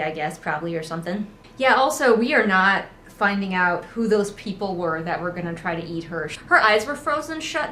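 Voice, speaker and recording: A woman with a mid-pitched voice hosts a casual book critique. She talks conversationally, with dry sarcasm and swearing in a comedic style. There is faint noise in the recording. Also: fairly bright tone, reverberant. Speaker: book critic and host of a readalong.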